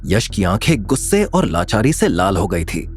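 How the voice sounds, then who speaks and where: Animated male dramatic story narrator. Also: low-pitched, moderate pace. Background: music.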